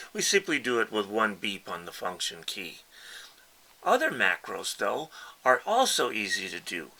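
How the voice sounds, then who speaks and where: A man with a bright, forward voice leads a hands-on tutorial. His mid-range pitch rises and falls in an animated way. He explains things casually and patiently, one simple step at a time. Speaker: instructor and presenter demonstrating a ham radio keyer.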